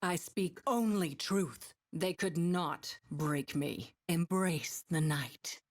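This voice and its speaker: A woman's voice: lowish voice, slow pace, dry recording, studio quality. A woman playing a grim, defiant outcast moon warrior in a video game, with ominous, severe delivery. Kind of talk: video game champion voice lines.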